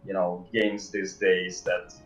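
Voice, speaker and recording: Low-voiced man, halting, in an echoey room. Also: level tone, fairly bright tone. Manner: halting, hesitant phrasing with drawn pauses. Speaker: game developer interview guest. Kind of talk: casual livestream interview. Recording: dull, roomy sound.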